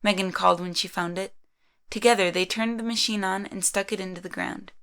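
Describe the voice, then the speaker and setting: A woman's voice: medium pitch, fairly even intonation, a little fast, a bit bright, clear, resonant tone. A woman narrating a scripted story in the third person.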